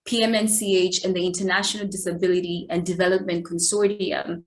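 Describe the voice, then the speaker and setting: A woman's voice: fairly low pitch, fairly even intonation, brisk, rough recording. A woman from Malawi moderating a formal online event, welcoming the audience and reading her introduction.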